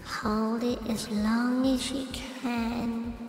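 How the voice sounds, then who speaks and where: A woman voicing an eerily calm, sinister starport announcer and inner voice, with measured, coaxing delivery. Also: a little high. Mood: creepy, coaxing. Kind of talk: slow dramatic reading for an online radio show. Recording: heavy echo and long reverb, music behind.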